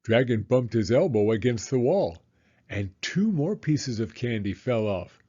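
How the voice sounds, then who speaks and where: A man reading a storybook aloud to young children in a deliberate, expressive storytelling way. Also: medium pitch, breathy edge, lo-fi sound.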